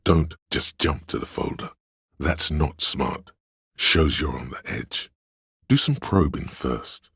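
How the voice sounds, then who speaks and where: A scheming, theatrical servant of drama from a video game, counselling his liege in sly, archaic flourishes. The voice is profoundly low and thick with gravel. It is recorded close and very dry. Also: animated, slow pace, pushed and bright, no echo, full character voice. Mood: shrewd, advising. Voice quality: gravelly, husky.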